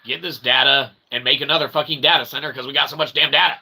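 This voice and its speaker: A man's voice: medium pitch, steady tone, quick pace, creaky, bright, forceful tone. A man delivering a rambling, joking philosophical monologue to camera as a channel host, with convoluted pseudo-formal phrasing, repetition and casual swearing. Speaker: host of his own channel. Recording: noticeable background noise.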